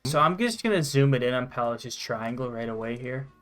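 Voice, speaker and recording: Excitable sweary young guy gaming. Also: mid-range voice, fairly quick, clear, resonant tone, soft background music. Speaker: gaming channel host. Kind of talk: casual game commentary with banter.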